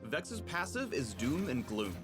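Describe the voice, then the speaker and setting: Male narrator, mid pitch, very expressive scripted read for a game showcase, music underneath. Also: measured pace. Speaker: narrator of a game champion spotlight.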